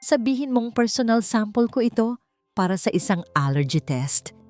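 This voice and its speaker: A woman's voice: on the high side, very animated, normal speed, darkish tone, voice pushed up. Woman voicing a commanding Filipino male billionaire. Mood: urgent, secretive. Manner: authoritative, clipped orders. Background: background music.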